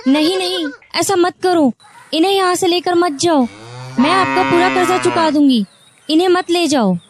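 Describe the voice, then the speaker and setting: Woman voicing a high-pitched, pleading village woman. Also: normal speed, background noise. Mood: distressed pleading. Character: distressed poor village woman, meek and pleading. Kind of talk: animated moral-story cartoon dialogue.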